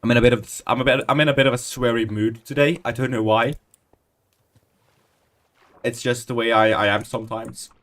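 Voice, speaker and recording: Mid-twenties man, casual chatty stream talk, mid-pitched voice, keyboard clicks behind. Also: fairly even intonation, brisk, pauses often. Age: 20s. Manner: rambling, chatty, self-deprecating; mutters quietly while typing game commands. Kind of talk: casual Minecraft stream commentary.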